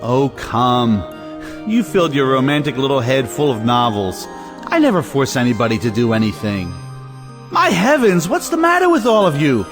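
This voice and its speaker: Domineering moneyed brother, male actor, period audio play. Blustering and highly animated in pitch. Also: moderate pace, over music, natural voice. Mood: exasperated. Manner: exasperated, domineering, emphatic. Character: blustering, bullying wealthy older brother. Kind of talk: theatrical audio drama acting of a 1917 play.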